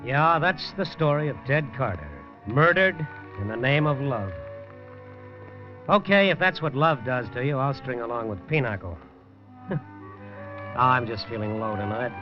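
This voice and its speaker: An actor playing a world-weary newspaper reporter who narrates his own story in a hard-boiled, low-key and wry way, in 1950s radio drama style. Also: normal speed, long pauses, faint music behind, close to an everyday voice. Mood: reflective. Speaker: first-person narrator and lead. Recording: dull lo-fi 1950s radio recording.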